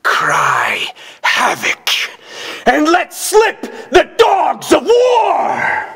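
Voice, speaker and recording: A man performing an impassioned Roman statesman in a declamatory, theatrical Shakespearean monologue, with a husky voice. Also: mid-range voice, animated, slow. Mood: furious war cry. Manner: declamatory, swinging from whispers to shouts. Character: impassioned Roman statesman orating grief and war.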